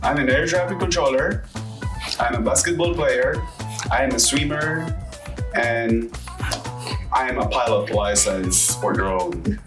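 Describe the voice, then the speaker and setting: A man working in air traffic control speaks in short, matter-of-fact phrases. He sits back from the mic in a roomy space, with music clearly underneath. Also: medium pitch, expressive, measured pace, pauses often, far from the mic.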